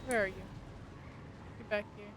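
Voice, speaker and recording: A woman's voice: medium pitch, fairly even intonation, normal speed, very noisy. A woman game streamer giving casual, chatty live commentary and reacting aloud as she plays. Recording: loud game music behind voice. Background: video game music.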